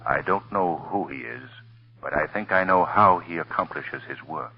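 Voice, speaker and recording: A very deep, mysterious unseen crime fighter. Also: male voice, normal speed, vocal fry, husky, full character voice. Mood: commanding, urgent. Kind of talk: 1930s radio drama acting. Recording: muffled old radio recording.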